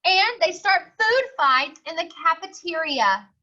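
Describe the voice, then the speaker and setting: A woman's voice: very high voice, sing-song, bright, forceful tone, muffled recording, voice pushed up, exaggerated voice. A woman voicing a stern, dismissive male school principal from a storybook, speaking firmly and emphatically. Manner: slow, firm, emphatic.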